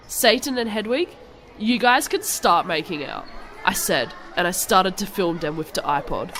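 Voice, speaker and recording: A woman's voice: mid-range voice, slight background noise, lightly acted. A woman voicing a gushing, melodramatic teenage goth girl vampire, narrating parody fan fiction in an over-the-top dramatic way. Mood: scheming, excited. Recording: loud music behind the voice.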